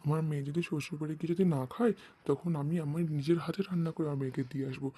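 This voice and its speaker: A man's voice: a little high, steady tone, very fast, warm tone, breathy edge. A man voicing a doting, insistent father in an amateur cartoon dub, speaking. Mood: indignant, scolding. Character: doting father of a village girl, quick to scold his wife. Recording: noisy amateur home recording.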